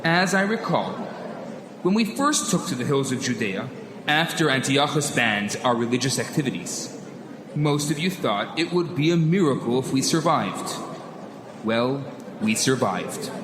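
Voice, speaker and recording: A man's voice: mid-range voice, close to an everyday voice. Man playing a dignified rebel leader, echoing hall. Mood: firm, pointed. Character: dignified victorious rebel leader at press conference. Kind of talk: radio drama speech. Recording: echoey hall, noisy background.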